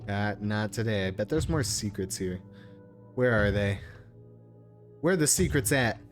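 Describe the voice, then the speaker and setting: A man's voice: lowish voice, animated, unhurried, faint music behind. A man giving casual playthrough commentary as a gaming streamer, with an irreverent, joking, sweary manner.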